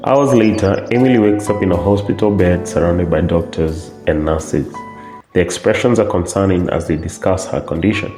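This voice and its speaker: A man's voice: sits low, moderate pace, warm tone, faint music behind. A man narrating a scripted radio drama as its storyteller. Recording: echoey, roomy sound.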